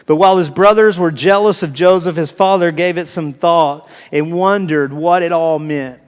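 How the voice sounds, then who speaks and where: An American man delivers a sermon in a notably high register for a male voice. His phrasing is informal and conversational, circling back on phrases to drive them home. The sound carries the long reverb of a large hall. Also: normal speed, clear, resonant tone. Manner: informal, repeats phrases for emphasis. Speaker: pastor preaching to a congregation. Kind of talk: conversational sermon with scripture reading. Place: large reverberant room, preaching live.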